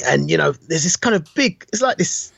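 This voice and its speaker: A middle-aged man chatting casually about films as a podcast co-host, telling things in an animated, slangy way. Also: a little high, normal speed, creaky, no echo.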